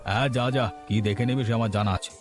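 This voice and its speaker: Man voicing a stubborn old villager, mid-pitched. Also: steady tone, fast, over music, close to an everyday voice. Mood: defiant. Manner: defiant, retorting. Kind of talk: Bengali cartoon dialogue.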